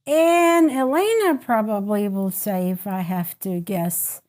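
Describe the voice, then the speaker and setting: A woman's voice: medium pitch, slow pace. A woman from Ukraine, hosting a casual group podcast chat and affirming the others as she talks. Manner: warm, affirming listener with frequent murmurs, emphatic when making a point. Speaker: podcast host.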